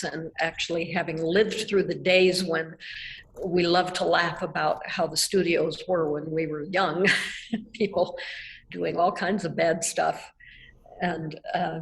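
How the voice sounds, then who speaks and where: An older woman from Nebraska, answering questions in a reflective, measured way over a video call. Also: lowish voice, gravelly, slight background noise, poor audio. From Nebraska, United States. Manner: reflective, measured, with soft laughs. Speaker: artist guest. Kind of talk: thoughtful conversational answers. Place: art gallery, indoors. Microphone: video call webcam.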